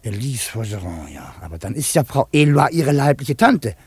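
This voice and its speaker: A man playing a chatty, nosy old cemetery gardener in a 1950s German radio drama, teasing and musing in a gravelly, husky voice. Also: medium pitch, big pitch swings, moderate pace, no echo. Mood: apologetic, musing.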